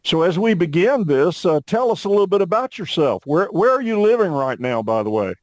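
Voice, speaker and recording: A man's voice: high-pitched, very animated, fairly quick, no echo. Chatty middle-aged male podcast host. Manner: friendly, chatty, with frequent uhs. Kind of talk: podcast interview hosting.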